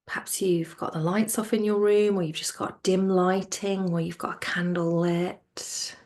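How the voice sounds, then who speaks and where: A woman teaching yoga nidra in a soothing, gentle manner, her soft voice trailing off toward a near-whisper as she guides relaxation. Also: on the low side, flat delivery. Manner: soothing, gentle, unhurried with pauses.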